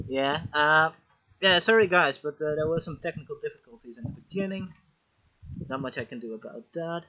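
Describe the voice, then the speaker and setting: A man's voice: a little high, brisk, bright, forceful tone. A man hosting a casual live stream on a video call, chatty and joking while fighting technical trouble. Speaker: host. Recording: slightly dull lo-fi stream audio.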